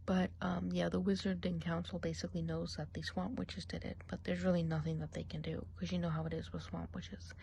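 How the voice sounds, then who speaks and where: A woman's voice: fairly low pitch, level tone, brisk, vocal fry, hardly any pauses, slight background noise. A woman playing a gossipy female forest witch in an ASMR roleplay, whispering and murmuring as she chats on. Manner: gossipy, mixes soft speech and whispers.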